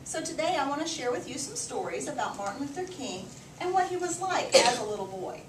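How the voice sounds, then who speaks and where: Distant noisy recording, woman, highish animated voice, expressive storybook read-aloud, emphatic teacher-like delivery. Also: fairly quick. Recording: roomy, distant mic, clearly noisy background.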